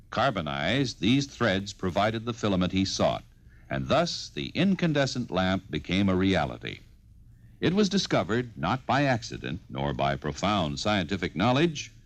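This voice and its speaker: A man's voice: lowish voice, no echo. A man with an American accent giving formal, stately scripted narration in a 1950s radio style.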